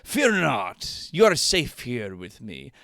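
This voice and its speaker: A man's voice: medium pitch, huge pitch swings, creaky, sharp tone, dry recording, clean studio sound, exaggerated voice. A man playing a smug, lecherous lord of the manor in a pompous, suggestive manner. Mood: smooth reassurance. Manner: suggestive, pompous, slow wooing.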